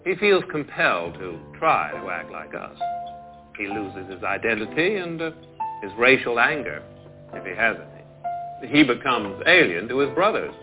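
A cynical liberal schemer, phone-like sound. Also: male voice, lowish voice, sing-song, moderate pace. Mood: calculating, persuasive. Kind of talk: dramatic film dialogue. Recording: muffled phone-like film clip over music.

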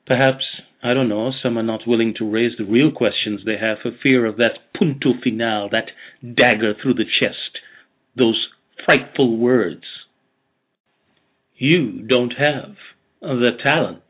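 Male interview host, measured and reflective, murmurs encouragement; recorded over a tinny phone-like line. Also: medium pitch, breathy edge. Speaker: podcast host. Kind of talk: interview, scripted intro then chat.

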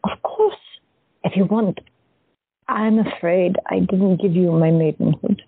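A woman playing a gentle, hesitant young artist in an audio drama. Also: lowish voice, a little slow, vocal fry, breathy edge, dry recording, full character voice. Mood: shy, earnest. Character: soft-spoken young woman artist, wistful. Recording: dry, tinny phone-like sound.

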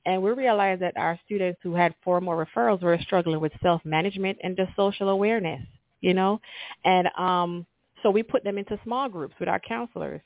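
A woman's voice: lowish voice, a little fast, no echo. Jamaican-accented woman, middle school principal being interviewed, earnest and upbeat, fillers and restarts, thin phone-like call sound, dry. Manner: enthusiastic, earnest, polite with frequent fillers. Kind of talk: conversational interview. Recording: tinny call audio, dry.

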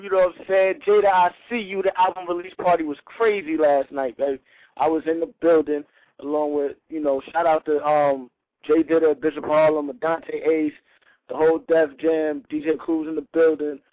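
A man hosting a call-in radio show, talking loose and hyped with slang and shout-outs. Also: on the high side, no echo. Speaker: radio host. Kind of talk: casual call-in radio chat. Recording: tinny phone-like line.

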